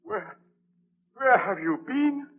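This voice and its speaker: A man playing a haunted, defiant gentleman scientist with a melodramatic, formal delivery in a 1930s radio drama. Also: very high-pitched, sing-song, slow pace, husky, heavily acted. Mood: shocked. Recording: tinny band-limited 1930s radio broadcast.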